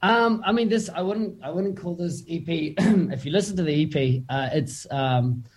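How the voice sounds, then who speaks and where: A man's voice: a little high, normal speed, husky. A man with a New Zealand accent, a musician chatting casually as an interview guest, laid-back and full of slang and fillers.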